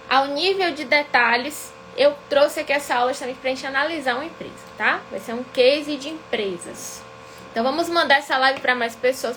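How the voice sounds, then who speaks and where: High-voiced Bahian woman teaching live. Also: fairly bright tone, slight background noise, rough recording. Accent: Bahian Brazilian Portuguese. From Salvador, Bahia, Brazil. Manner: didactic, keeps checking in with "tá?". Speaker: accountant teaching a weekly live class. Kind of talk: live-streamed lesson.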